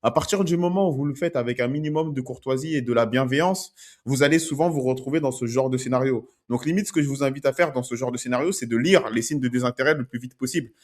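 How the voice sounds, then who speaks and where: A man with an ordinary mid-range voice breaks down street-approach footage like a dating coach. He keeps a conversational tone, often checking in with his viewers as he explains. His voice sits in a middle pitch, neither high nor low. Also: fast. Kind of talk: commenting on street-approach video clips.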